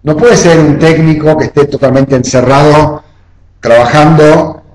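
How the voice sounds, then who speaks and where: A man's voice: a little high, fairly even intonation, unhurried, a little mellow. A man giving an online class lecture, explaining step by step and often checking in with "¿eh?". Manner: explanatory, often adds "o sea" and "¿eh?". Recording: roomy echo.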